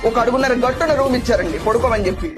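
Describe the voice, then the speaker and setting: A woman's voice: a little high, racing, music in the background. A woman giving scathing political commentary in a mocking, outspoken manner.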